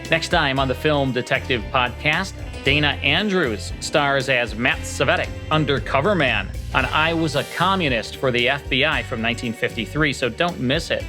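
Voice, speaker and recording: A man's voice: mid-range voice, normal speed, few pauses. A man hosting a podcast, delivering scripted announcements over music. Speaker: podcast host.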